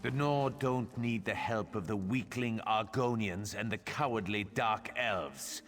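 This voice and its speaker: A man playing an arrogant, hot-tempered young northern prince in a video game, boastful and scornful, with a gravelly voice. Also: lowish voice, measured pace, fairly bright tone. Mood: scornful, defiant. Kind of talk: video game character acting. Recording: long reverb, music behind.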